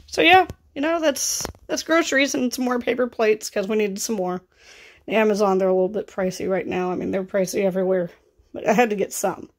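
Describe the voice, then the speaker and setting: A woman in her thirties with a scratchy, raspy voice and vocal fry, chatting casually through a grocery haul in her kitchen. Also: medium pitch, fairly quick. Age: 30s. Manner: chatty and offhand, rising into exclaimed asides. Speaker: vlogger. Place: home kitchen, indoors.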